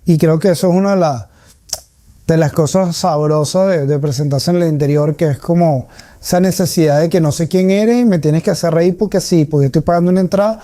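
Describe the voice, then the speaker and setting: A man's voice: on the high side, fairly quick, mellow, no room sound. A man with a Caracas Venezuelan accent giving reflective, conversational answers as a comedian being interviewed.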